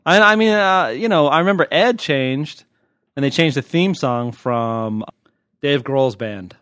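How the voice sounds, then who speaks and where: An American man talks as a podcast host, loose and unscripted. He wanders off on tangents and jokes irreverently, with a medium-pitched voice. The sound is dry and close, with very little room. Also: expressive, a little slow, a bit bright, no echo. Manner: irreverent, joking, digressive, mildly profane. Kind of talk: casual, unscripted podcast chat.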